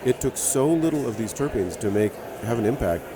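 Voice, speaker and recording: A man's voice: medium pitch, animated, brisk, crowd noise. A man with a Hawaiian accent, a brewer and author telling stories as a guest in a casual interview.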